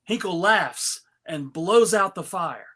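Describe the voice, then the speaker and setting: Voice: medium pitch, animated, slow, sharp tone. A performer reading out scene directions from a script, with casual asides at a table read. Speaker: reader of stage directions.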